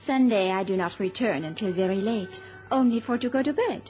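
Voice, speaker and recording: An actress playing a cool, weary Viennese shop model in a radio play, clipped and formal. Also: female voice, mid-range voice, brisk, a little mellow, close to an everyday voice. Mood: aloof, weary. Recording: dull old radio recording with music.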